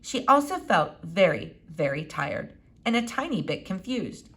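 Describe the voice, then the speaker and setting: Animated woman reading a storybook aloud. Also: fairly low pitch, a little slow. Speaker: storybook reader. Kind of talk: expressive read-aloud narration.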